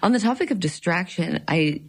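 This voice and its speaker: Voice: medium pitch, animated, a little fast, breathy edge. A radio interviewer asking questions in an easygoing, chatty way.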